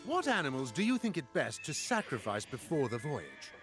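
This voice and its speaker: An actor playing a boastful, scheming Greek tyrant in a video game, with a pompous, drawn-out delivery. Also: male voice, mid-range voice, wildly swooping pitch, music in the background. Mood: flattering, breezy. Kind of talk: video game dramatic acting.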